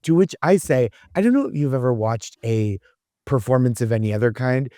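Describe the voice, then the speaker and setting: A man's voice: mid-range voice, animated, fairly quick, warm tone, dry recording, clean studio sound. A man from the United States giving casual comedic commentary as a podcast guest, riffing in a wry, conversational way.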